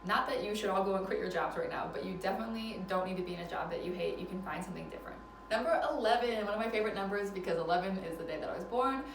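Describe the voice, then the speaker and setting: A woman's voice: medium pitch, racing, creaky, few pauses, recorded from a distance, faint music behind. A woman in her twenties chatting casually in an advice vlog, laughing often and poking fun at herself, recorded indoors at a relative's house. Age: 20s. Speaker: vlogger. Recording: roomy, mic set back, faint background noise.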